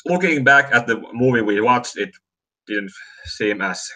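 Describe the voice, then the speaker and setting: A Finnish man with a bright, forceful tone talks film in a relaxed chat over a call. He speaks English as a second language, with frequent fillers and restarts as he hunts for words. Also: medium pitch. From Finland. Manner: halting, many restarts and fillers. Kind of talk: casual chat about films.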